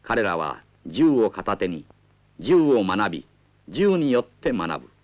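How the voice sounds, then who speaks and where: A man's voice: on the low side, big pitch swings, slow, a little mellow, highs cut off. A man delivering scripted political documentary narration in a formal, rhetorical way.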